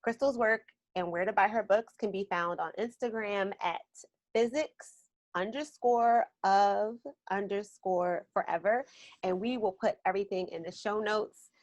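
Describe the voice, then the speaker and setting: A woman with a Baltimore accent hosting a podcast, chatty and quick to laugh, filling her talk with "like" and "um". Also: medium pitch, darkish tone. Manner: chatty, laughs often, lots of "like" and "um". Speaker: podcast host. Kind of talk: casual chat and guest introduction.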